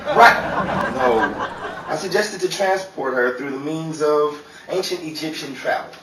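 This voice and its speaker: A man's voice: fairly high pitch, measured pace, pushed and bright. A man playing a bumbling, self-important stage magician in a theatrical old time radio comedy style. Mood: boastful, defensive. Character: bumbling, boastful male stage magician and show announcer. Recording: roomy distant mic, noisy crowd behind.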